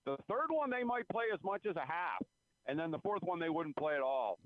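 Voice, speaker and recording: Give me a male beat writer on a narrow phone line, slightly high-pitched, calmly explaining sports as a guest. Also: fairly quick, no room sound. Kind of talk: conversational sports analysis. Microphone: phone call.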